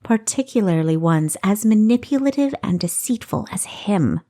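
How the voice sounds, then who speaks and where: A woman's voice: mid-range voice, lively intonation, moderate pace, dark tone, voice pushed up, lightly acted. A woman voicing a prim female companion in an audiobook, admonishing with dry wit. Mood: cautioning. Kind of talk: audiobook character acting.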